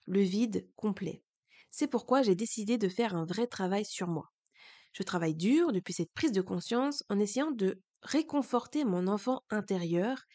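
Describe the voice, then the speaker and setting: A woman's voice: mid-range voice, darkish tone. Woman with a cold, candid podcast talk. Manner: candid, earnest, reflective. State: voice changed by a cold. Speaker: podcast host. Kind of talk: personal confessional monologue.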